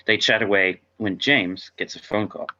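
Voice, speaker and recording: A man's voice: lowish voice, normal speed, pushed and bright. A man reading stage directions as narrator at a table read of a script, on a dull microphone.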